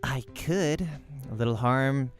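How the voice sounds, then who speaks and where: A man's voice: medium pitch, takes it slowly, close to an everyday voice. Casting: blunt, smug female esports champion, performed by a man, curt and dismissive, visual novel game, music underneath. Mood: curt, cool.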